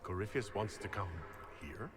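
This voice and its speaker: An actor playing a composed, courteous warrior leader in a video game, speaking in restrained, formal questions. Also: male voice, fairly low pitch, moderate pace, crowd noise, close to an everyday voice. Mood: guarded, curious. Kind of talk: video game dramatic acting.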